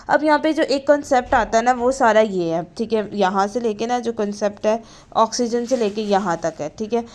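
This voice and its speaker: High-voiced woman teaching a lesson. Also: darkish tone. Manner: explanatory, often ends points with a checking tag; switches between reading English terms and explaining. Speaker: physiotherapy lecturer. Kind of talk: informal lecture explaining study notes.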